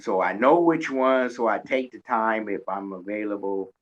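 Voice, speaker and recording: A man hosting a casual radio interview, talking in a halting way and often repeating words. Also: fairly low pitch. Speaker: radio show host and interviewer. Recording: dull lo-fi recording.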